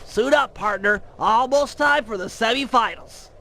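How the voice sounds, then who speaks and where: A man's voice: extremely high pitch, measured pace, noisy background. A man voicing a nerdy, earnest young gadget genius who briefs in a precise, wordy way for a video game. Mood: urgent. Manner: precise, formal, lecturing briefing delivery. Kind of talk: animated video game cutscene dialogue. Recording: loud music under the voice.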